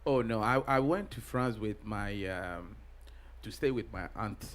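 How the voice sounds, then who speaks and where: A middle-aged man from Ghana, a pastor being interviewed, answering in a halting way with many pauses and restarts. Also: fairly low pitch. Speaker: pastor and guest.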